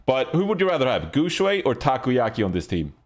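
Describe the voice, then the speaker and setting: A man's voice: medium pitch, wildly swooping pitch, a little fast. A man chatting casually about esports as one of the panelists on a podcast.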